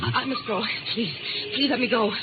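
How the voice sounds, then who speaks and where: An actress playing an anxious, hesitant American receptionist in a 1950s radio drama. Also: female voice, a little high, animated, a little fast, over loud music, natural voice. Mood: distraught, pleading. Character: anxious young female doctor's receptionist, distraught and hesitant. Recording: tinny, noisy old radio recording.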